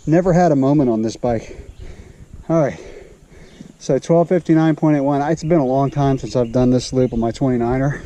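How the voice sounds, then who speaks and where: A man's voice: a little high, dark tone. A man talking casually and enthusiastically as a mountain bike vlogger, recorded outdoors on an action camera. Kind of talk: casual vlog chat while testing bikes. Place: outdoors on wooded bike trails. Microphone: action camera mounted on the rider.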